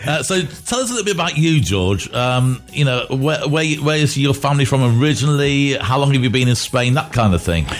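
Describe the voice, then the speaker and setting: A man's voice: medium pitch, lively intonation, brisk, few pauses. Chatty male radio host. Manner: chatty, self-deprecating, many fillers. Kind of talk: radio interview. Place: radio studio.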